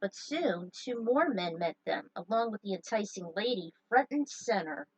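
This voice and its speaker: A woman's voice: mid-range voice, lively intonation, moderate pace, no echo, recorded from a distance, poor audio. A woman reading a story aloud, breaking off for chatty, joking asides. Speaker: fan fiction reader. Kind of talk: story narration with casual asides.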